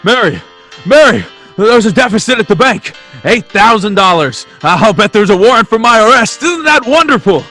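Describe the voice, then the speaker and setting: A man's voice: high-pitched, lively intonation, normal speed, pushed and bright, faint music behind, exaggerated voice. An actor playing an emotional, fervent family man in an old-time radio drama, stammering and exclaiming sincerely. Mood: overjoyed.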